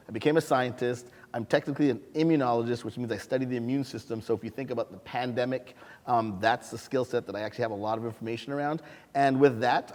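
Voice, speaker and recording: An animated man from Canada spins a funny story for a panel audience, his mid-pitched voice carrying plenty of humor. The sound rings with a long reverb, as if recorded in a large hall. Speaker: science museum chief executive.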